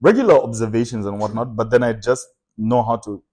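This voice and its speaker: A young man from Zambia, a comedian guest telling stories in a relaxed podcast chat, with lots of fillers and laughter. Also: medium pitch, a little fast. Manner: storytelling, laughs often, many fillers.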